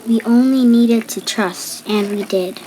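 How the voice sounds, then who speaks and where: A woman reading a picture book aloud in deliberate, separate phrases. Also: on the high side, dark tone, low-quality recording. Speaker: storybook reader. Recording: background noise.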